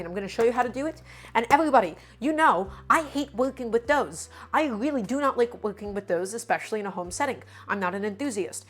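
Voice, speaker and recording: A woman hosting a live cooking stream, slightly sick and a bit nasal, talking in an upbeat, chatty way. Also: medium pitch. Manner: upbeat, chatty, greets chat by name.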